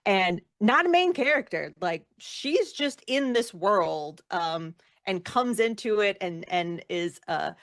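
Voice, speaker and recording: A woman's voice: mid-range voice, expressive, slow pace, few pauses, fairly bright tone, no room sound, studio quality. A woman chatting casually as an interview guest, effusive and informal in the way she talks. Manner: effusive, informal, emphatic about loving the work. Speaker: guest, actor and former rock singer.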